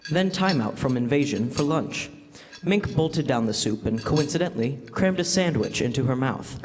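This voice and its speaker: A man's voice: medium pitch, lively intonation, normal speed, lightly acted. A young man playing a formal, theatrical announcer and narrator of a 1940s-style radio drama. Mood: storytelling. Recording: echoey hall, live stage.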